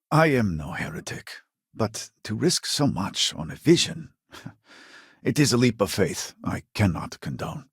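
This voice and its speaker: A weary, devout man of science in a sci-fi video game. His husky voice sits fairly low yet moves widely in pitch. He speaks formally and earnestly, with measured, scripted phrasing. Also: no room sound, clean studio sound, exaggerated voice.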